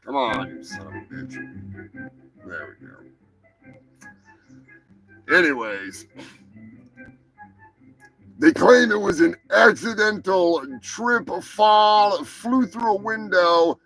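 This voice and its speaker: A man with a slightly higher voice and a rough, gravelly edge hosts a live show. He rambles through the news with a loose, sarcastic manner and laughs at his own asides. Faint music plays underneath. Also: big pitch swings, takes it slowly, long pauses, fairly bright tone, low-quality recording. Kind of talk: casual profane news commentary.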